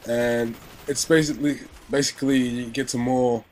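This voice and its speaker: A man's voice: medium pitch, little pitch movement, moderate pace, a little mellow, slight background noise. A young man giving casual gaming commentary, rambling with many fillers and restarts, his nose still stuffy and his voice a little sore from illness.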